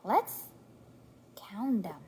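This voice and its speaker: A woman reading a storybook aloud to a toddler in an encouraging, sing-song way, pausing to prompt and praise. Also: medium pitch, sing-song, darkish tone, slight background noise. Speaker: parent reading aloud to a young child.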